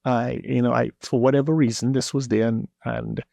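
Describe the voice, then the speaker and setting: A man from Trinidad and Tobago with a Trinidadian accent, a guest telling his story in a relaxed interview and often repeating words as he searches for them. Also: mid-range voice, moderate pace, gravelly, no room sound. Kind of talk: casual interview talk.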